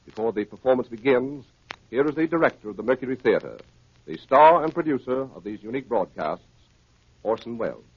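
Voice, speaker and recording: A man's voice: medium pitch, lively intonation, brisk. A man making a formal, declamatory radio announcement in 1930s network style. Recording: muffled, husky 1930s radio broadcast, music behind. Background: music.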